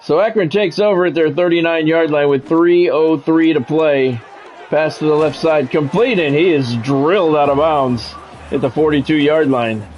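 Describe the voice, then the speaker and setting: A man's voice: on the high side, moderate pace, darkish tone, slight background noise. A man doing football play-by-play for a video game stream in a conversational, blunt way. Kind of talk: football play-by-play commentary with casual reactions.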